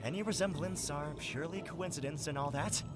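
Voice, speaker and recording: An actor playing a pompous, theatrical would-be novelist in a video game, with florid, melodramatic delivery. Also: male voice, fairly high pitch, fairly quick, natural voice. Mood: grandiose. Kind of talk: video game character dialogue. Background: game music.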